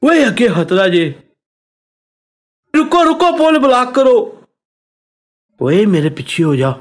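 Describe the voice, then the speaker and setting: A man voicing an excitable Punjabi squadmate in a game, calling out short exclamatory tactical orders with a Punjabi accent. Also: extremely high pitch, full character voice. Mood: urgent alarm. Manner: exclamatory, drawn-out calls. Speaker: game voice pack character.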